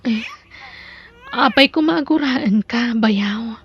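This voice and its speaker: Lovestruck flirty woman, Ilocano Filipino accent, high pitch, audio drama, crowd noise in background. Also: takes it slowly, lo-fi sound. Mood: tender. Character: flirtatious woman in love with her brother-in-law.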